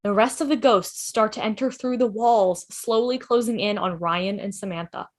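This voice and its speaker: A woman's voice: medium pitch, normal speed. A woman reading scripted stage directions as the narrator of a table read over a video call.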